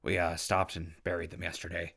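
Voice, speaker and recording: A man playing a wry, weary, good-hearted and easily exasperated wasteland survivor in an audio drama, with a husky, gravelly voice. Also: deep voice, monotone, brisk, a bit bright, exaggerated voice. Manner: sarcastic, colloquial, exasperated. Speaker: protagonist and narrator. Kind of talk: post-apocalyptic audio drama acting.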